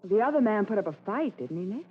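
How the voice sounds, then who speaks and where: A woman's voice: medium pitch, very animated, fast, phone-quality sound, natural voice. A woman playing an eager, inquisitive detective's assistant in an old radio drama, speaking expressively. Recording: phone-thin, reverberant, noisy old radio.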